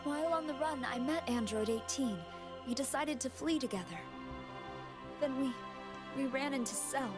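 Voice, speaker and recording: A woman's voice: high voice, moderate pace, long pauses, lightly acted. A woman playing a polite, earnest scientist with a remorseful air, speaking carefully and formally in anime game style. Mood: solemn confession. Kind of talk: dubbed anime video game acting. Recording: music behind the voice.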